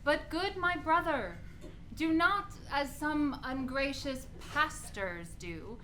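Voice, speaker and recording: A woman's voice: sits high, lively intonation, slow, sharp tone, a little hiss or hum, full character voice. A woman playing a dutiful yet spirited young noblewoman in a Shakespeare radio play. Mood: dutiful, gently chiding.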